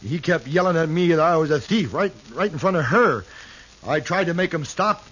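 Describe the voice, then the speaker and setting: An actor playing a simple, timid cowhand in a 1950s radio western, naive and halting. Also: male voice, on the high side, animated, a bit bright, breathy edge. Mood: frightened pleading. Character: simple, timid, childlike male cowhand in trouble. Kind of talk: 1950s radio drama acting. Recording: dull, hissy old radio recording.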